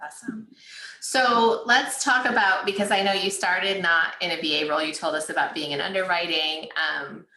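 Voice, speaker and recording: A woman's voice: fairly low pitch, bright, forceful tone, echoey room, low-quality recording. A woman hosting an interview over a video call, encouraging and casual, often saying "like" and "right?". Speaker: interview host.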